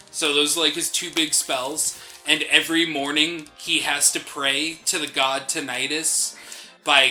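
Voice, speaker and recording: This man has a strikingly bright, crisp-edged voice pitched a little high. He talks casually as a gaming co-host, explaining his ideas conversationally, with faint game music in the background. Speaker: co-host of a game playthrough show. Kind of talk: casual chat. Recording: game music behind the talk. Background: video game music.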